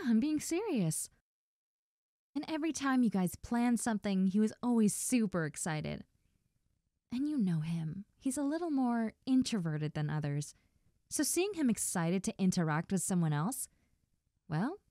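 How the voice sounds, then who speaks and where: A woman playing a best friend's friendly, nosy older sister in an intimate roleplay audio drama, talking softly and coyly straight to the listener. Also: medium pitch, no room sound, studio quality, natural voice. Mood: warm, reassuring. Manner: soft, gentle, coy, addressing the listener directly.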